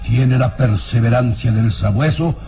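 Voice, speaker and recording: An actor playing a scheming, menacing castle lord in a radio serial, with a theatrical, gloating manner. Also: male voice, medium pitch, measured pace, dark tone, close to an everyday voice. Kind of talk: old radio serial acting.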